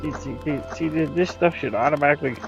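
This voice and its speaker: A man's voice: on the high side, fast, gravelly, dull audio, over music. A man thinking aloud in casual live-stream chat, muttering informally to himself as he works. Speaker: streamer and hobby game developer.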